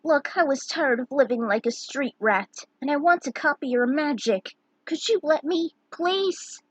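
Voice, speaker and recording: A scrappy street child, played by a woman reading aloud, eager and high in pitch. The delivery suits a fantasy storybook. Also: lively intonation, measured pace, dry recording. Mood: eager, pleading.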